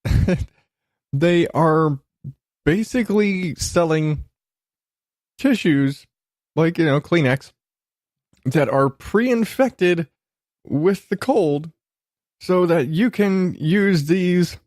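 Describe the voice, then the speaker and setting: Unhurried man rambling casually on a podcast. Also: fairly high pitch, darkish tone, dry recording, clean studio sound. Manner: informal, sweary, self-deprecating. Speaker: podcast host.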